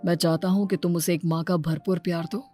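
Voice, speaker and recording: A woman's voice: lowish voice, steady tone, brisk, mellow, faint music behind, lightly acted. A woman voicing an earnest, protective young father in a cartoon story. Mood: reproachful.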